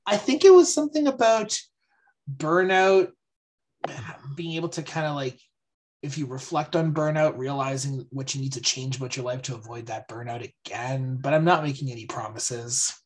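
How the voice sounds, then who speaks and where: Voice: medium pitch, normal speed. A podcast co-host in casual chat, upbeat and teasing, laughing often.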